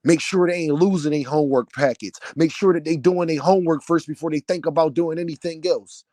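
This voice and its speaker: Loud, heated man ranting with a deep voice. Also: no room sound, studio quality. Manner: loud, heated, preaching cadence. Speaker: vlogger, channel owner. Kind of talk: passionate rant to camera.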